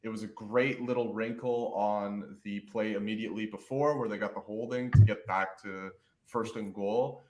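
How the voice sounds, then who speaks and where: A man's voice: fairly low pitch, steady tone, normal speed, a little mellow, roomy sound, rough recording. A man giving earnest, detailed football analysis on a casual podcast. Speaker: podcast guest.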